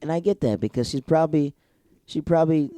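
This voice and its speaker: A man's voice: a little high, brisk, dark tone, no echo. A young man hosting his own podcast alone, reviewing a TV episode in a rambling, slangy, digressive way. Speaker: solo podcast host.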